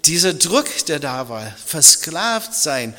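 A middle-aged man, a native English speaker, preaching as a pastor in a halting, reflective, personal manner. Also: fairly high pitch, sing-song, bright, forceful tone. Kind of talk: sermon in German. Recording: long reverb of a large hall.

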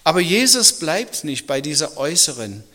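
A middle-aged man, a native English speaker, preaching as a pastor in a halting, reflective, personal manner. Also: fairly high pitch, very animated, pushed and bright. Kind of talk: sermon in German. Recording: long reverb of a large hall.

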